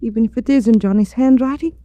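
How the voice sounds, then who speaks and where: A woman's voice: fairly high pitch, quick pace, warm tone, dry recording, natural voice. An actress playing a weary, subdued young widowed mother, bitter but loyal, in a 1940s radio drama. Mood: insistent.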